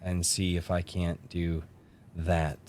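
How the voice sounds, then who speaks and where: A man hosting a casual podcast, chatting with excitable enthusiasm. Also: deep voice, slow. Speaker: podcast host.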